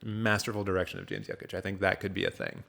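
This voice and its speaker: A man's voice: sits low, big pitch swings, quick pace, gravelly, very noisy. A man co-hosting a casual film discussion podcast, talking in a wry, tongue-in-cheek way.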